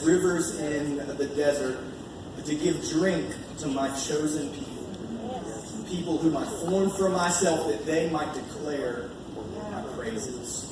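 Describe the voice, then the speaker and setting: American guy preaching kinda casual n earnest, super echoey room, mic far off. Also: on the high side. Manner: conversational, earnest, repeating key phrases for emphasis. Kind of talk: informal sermon with scripture reading. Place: large echoey indoor church meeting space. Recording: echoey room, distant mic, background noise.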